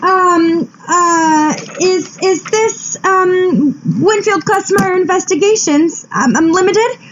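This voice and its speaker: Woman playing a high-voiced anxious damsel, slightly muffled. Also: a bit bright, slight background noise, heavily acted. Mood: hesitant. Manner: halting, pleading. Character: anxious, coy young damsel client in distress. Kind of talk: comic radio play acting.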